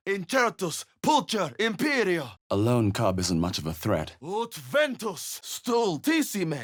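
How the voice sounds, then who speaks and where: A man playing a wary, determined adventurer and spellcaster in a video game, with a terse, measured, dramatic delivery. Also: lowish voice. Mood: incanting spells, then wary, observant. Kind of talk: video game character voice lines.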